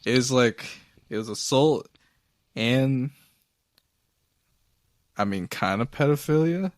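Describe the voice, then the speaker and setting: A man co-hosting a casual talk show, soft-spoken and hesitant, often trailing off. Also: medium pitch, expressive, takes it slowly, dry recording. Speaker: podcast co-host. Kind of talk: casual chat about anime.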